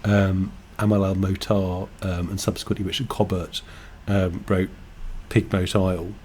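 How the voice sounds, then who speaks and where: Thoughtful English man, low voice. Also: level tone, darkish tone, slight background noise. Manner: thoughtful, halting, restarts mid-sentence. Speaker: podcast co-host, game designer. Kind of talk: casual chat.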